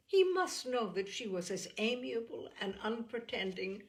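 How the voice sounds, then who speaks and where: A woman acts out a young Regency gentlewoman from a classic novel. She is candid, earnest and quick to indignation, speaking in formal, emphatic phrases with animated stress. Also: on the high side, slight background noise. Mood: indignant.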